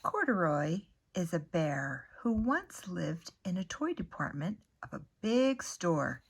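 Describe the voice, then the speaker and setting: An older woman reading a children's picture book aloud, expressively, with pauses, as a grandmother talking to a young listener. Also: lowish voice, sing-song, creaky, slightly rough. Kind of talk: unhurried children's storybook read-aloud.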